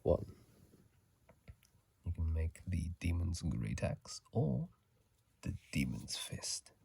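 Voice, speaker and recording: A man giving casual, halting ASMR commentary in a hushed, breathy whisper, sleepy and close to a phone microphone. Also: low-pitched, big pitch swings, no echo. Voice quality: breathy, gravelly soft whisper. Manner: slow, halting, hushed delivery. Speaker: video host.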